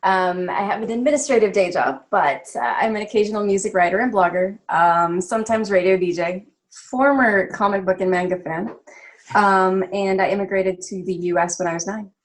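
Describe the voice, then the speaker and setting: A woman's voice: medium pitch, normal speed. A woman from the Philippines speaking as a panelist on a video call, thoughtful and hesitant, with frequent fillers. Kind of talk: casual panel discussion.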